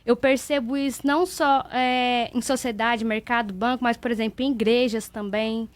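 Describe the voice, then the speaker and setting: A woman's voice: a little high, steady tone, moderate pace, dry recording. A woman giving her testimony as a guest in a radio interview, speaking earnestly and plainly. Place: radio studio.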